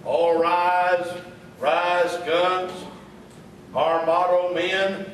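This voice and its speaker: A man's voice: high voice, little pitch movement, extremely slow. A man playing a pompous, dim lodge leader with a ceremonious, dismissive manner in a comic radio play. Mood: solemn, pompous. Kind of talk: comic radio theatre acting. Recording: echoey hall, distant mic, faint noise.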